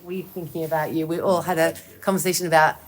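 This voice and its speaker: A woman from New York in the audience, thanking the speaker and asking a halting question with many restarts, heard from away from the mic. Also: deep voice, fairly even intonation.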